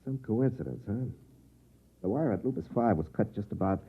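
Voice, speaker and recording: An actor playing a cynical, hard-drinking army space captain in a 1950s radio drama, world-weary and sardonic. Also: male voice, lowish voice, lively intonation, normal speed, breathy edge, phone-quality sound. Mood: grim, insistent. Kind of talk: 1950s radio drama acting with first-person narration. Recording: band-limited 1950s radio recording with music.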